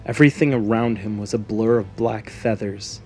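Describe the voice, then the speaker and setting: A man's voice: lowish voice, moderate pace, darkish tone. A man narrating a scripted fantasy story in a dramatic storytelling manner. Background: background music.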